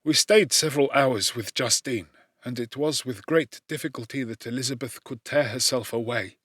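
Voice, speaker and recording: A man narrating classic literature as an audiobook in a solemn, measured, theatrical manner. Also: medium pitch, a bit bright, no echo, clean studio sound.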